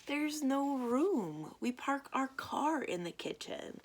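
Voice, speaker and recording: A woman's voice: sits high, sing-song, slow, a little hiss or hum, pitched above the performer's own voice, heavily acted. A woman voicing a matter-of-fact, mildly exasperated child in a children's picture book read-aloud.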